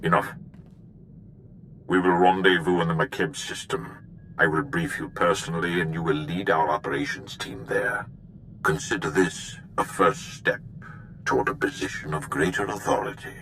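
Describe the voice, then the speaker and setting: A very deep, forceful dark lord in a sci-fi game. He is stern and imperious, speaking with grave formality. A faint rumble sits behind the voice. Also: normal speed, poor audio. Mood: commanding. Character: stern, commanding male dark lord of a galactic empire. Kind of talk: dramatic video game acting.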